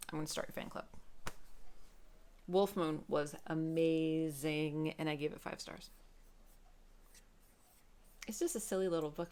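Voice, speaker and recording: Woman doing a chatty book vlog, voice a bit on the low side, expressive and emphatic. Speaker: book vlogger. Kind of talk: casual chat to camera.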